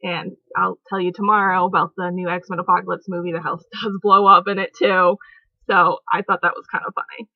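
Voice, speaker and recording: A woman's voice: lowish voice, flat delivery, a little fast, dry recording. A young woman chatting casually on her home vlog, giggly and rambling with lots of fillers. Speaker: vlogger. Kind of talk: casual movie review chat. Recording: dull lo-fi home recording.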